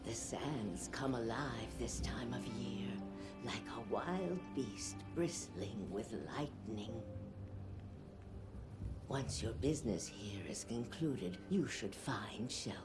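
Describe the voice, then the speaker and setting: A woman playing a gracious, gentle old village elder who speaks formally in a video game cutscene. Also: rumbling low, lively intonation, slow pace, full character voice. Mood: grave, welcoming. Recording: loud music behind. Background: music.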